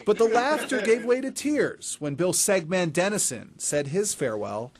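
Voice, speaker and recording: A man's voice: sits high, sing-song, moderate pace, no echo. A man narrating a scripted news feature as a reporter.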